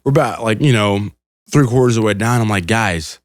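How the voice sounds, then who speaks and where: Young adult male podcast co-host, slangy and easygoing, lowish pitch, close dry sound. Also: fairly quick, no room sound. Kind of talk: casual storytelling chat.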